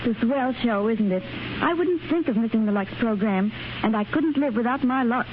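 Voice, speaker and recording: A woman's voice: fairly high pitch, moderate pace, lightly acted. A woman playing a bubbly young shopper in an ad. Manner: bubbly, chatty. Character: chirpy thrifty young woman in a soap ad. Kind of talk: 1930s radio ad acting. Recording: scratchy dull 1930s radio broadcast.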